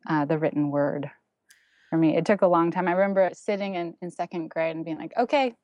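Thoughtful woman, low voice, dry mic. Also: a little fast, a little mellow, dry recording, muffled recording. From Germany and US. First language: German. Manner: thoughtful, many ums and restarts. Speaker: guest artist. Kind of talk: casual interview, recounting her path.

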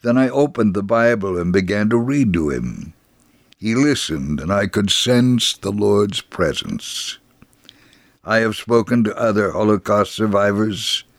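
A man portrays a gentle old survivor and devout evangelist telling his story. The voice is on the low side and measured, with earnest, deliberate phrasing. The sound is dry and close, like a read testimony. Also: vocal fry, dry recording, exaggerated voice. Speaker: reader of a first-person testimony. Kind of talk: scripted first-person storytelling.

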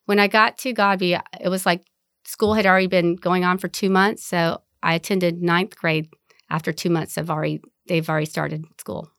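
The woman has a lowish voice and talks candidly through her story, laughing easily. She is from Vietnam and in her forties. The sound is close and dry. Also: little pitch movement, normal speed, vocal fry, fairly bright tone, no room sound. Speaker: interviewee. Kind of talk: oral history interview.

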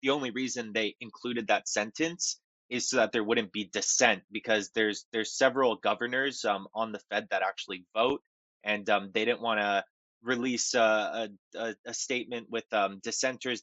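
Stuffy-nosed man, halting market talk. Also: lowish voice, pushed and bright, no room sound, lo-fi sound. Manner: halting, many ums and restarts. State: nasal from a cold. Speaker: podcast co-host. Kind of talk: casual market commentary.

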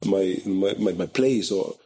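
Brazilian-accented man with a low voice. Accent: Brazilian Portuguese. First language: Portuguese. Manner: animated storyteller who acts out quoted voices, halting second-language phrasing. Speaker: guest, photographer. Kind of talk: casual conversational interview.

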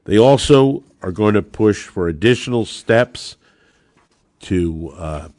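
A man's voice: on the low side, lively intonation, slow, darkish tone, no echo. Emphatic American male podcast host, dry close sound. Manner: emphatic, combative, rhetorical. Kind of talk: opinionated political podcast monologue.

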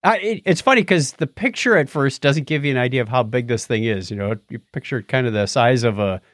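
A man co-hosting a podcast, talking casually through the news in an animated, playful, bantering way. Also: medium pitch, a little fast, dry recording, studio quality. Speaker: podcast co-host. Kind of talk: casual conversational news discussion.

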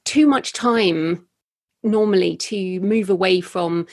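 A woman's voice: medium pitch, slow pace, darkish tone, no room sound, clean studio sound. A woman from England hosting a casual interview, asking curious questions in a chatty, affirming way.

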